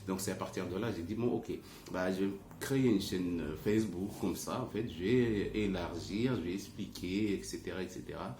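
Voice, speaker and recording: A man with a very low voice talks to his followers like an informal religious teacher. He is not a native French speaker and speaks haltingly, searching for the next word. The room echoes around him, with faint noise behind. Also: darkish tone. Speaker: religious teacher and ruqyah healer. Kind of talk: informal explanatory talk to online followers. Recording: roomy echo, faint background noise.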